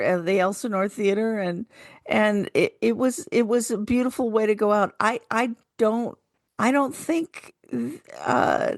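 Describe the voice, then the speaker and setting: A woman's voice: mid-range voice, vocal fry, dry recording, studio quality. An older woman, a retired actress, talking casually as a radio co-host.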